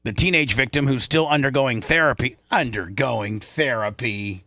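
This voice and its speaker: A man's voice: mid-range voice, moderate pace, pushed and bright, no echo, studio quality. An American man hosting a comic talk radio show, bantering and reading news aloud, often stumbling and repeating words.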